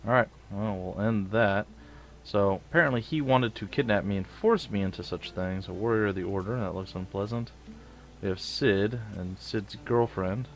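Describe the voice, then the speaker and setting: Stuffy-nosed man, low voice, gaming commentary. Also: normal speed. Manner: rambling, self-joking asides. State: stuffy and sniffly. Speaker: let's play host. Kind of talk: casual gameplay commentary.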